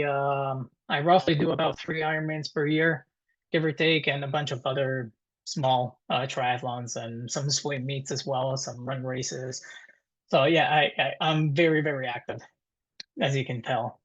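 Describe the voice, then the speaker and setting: A man in his thirties with an Italian accent, presenting informally to a webinar in a halting, conversational way. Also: a little high, steady tone. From Italy. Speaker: guest presenter, dental technician. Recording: dull, muffled webinar audio.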